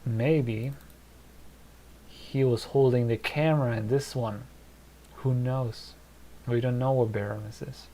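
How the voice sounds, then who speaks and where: A man's voice: medium pitch, gravelly, mellow, slight background noise, low-quality recording. A man in his thirties from Germany with a German and Polish accent, chatting casually on a live stream from his desk and thinking aloud with many fillers. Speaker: streamer answering chat. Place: small home studio at a desk.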